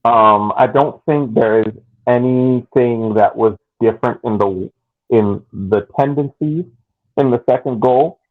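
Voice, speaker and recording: Man, co-host, thinking it through out loud, phone-quality audio. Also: mid-range voice, a little slow. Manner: thoughtful, repeats phrases for emphasis. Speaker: podcast co-host. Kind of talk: casual soccer analysis chat. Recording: tinny phone-like line.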